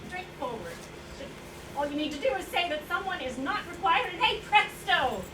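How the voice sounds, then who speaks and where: A woman's voice: very high-pitched, lively intonation, normal speed. An actress playing a wry, sardonic woman with a pointed, ironic way of speaking in a staged play reading. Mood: ironic, probing. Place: indoor staged reading, distant microphone. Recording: echoey, noisy room recorded from far off.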